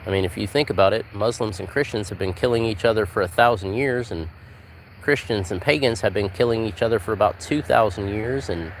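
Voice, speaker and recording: A man's voice: on the low side, brisk, slight background noise, rough recording. A man in his forties chatting on a casual live stream while walking outdoors at night, easygoing and rambling, answering viewers by name. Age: 40s. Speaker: livestream host.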